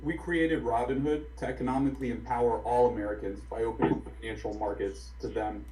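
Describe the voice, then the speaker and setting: A man in his thirties, from Bulgaria, a company executive reading prepared testimony in a composed, even way over a video call. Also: mid-range voice, quick pace. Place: home office on video call. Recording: echoey video call audio.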